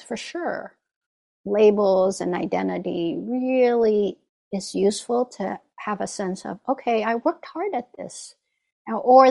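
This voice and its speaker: A woman's voice: mid-range voice, creaky, warm tone. A woman meditation teacher giving a reflective dharma talk, often checking in with "right?" and "you know".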